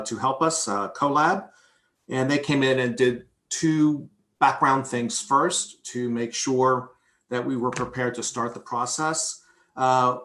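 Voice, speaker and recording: A man's voice: mid-range voice, a bit bright. A man giving a prepared presentation as a board vice chair at a public meeting. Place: indoor room on a video conference call. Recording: roomy echo on voice.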